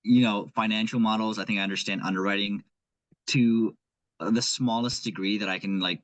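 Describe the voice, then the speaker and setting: A young man with a Nepali accent, talking as a guest in an earnest, thinking-aloud way. His voice sits fairly low. The sound is close and dry. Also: steady tone, a little fast, dry recording, poor audio. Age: young adult. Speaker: guest, aspiring investor. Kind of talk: casual conversation.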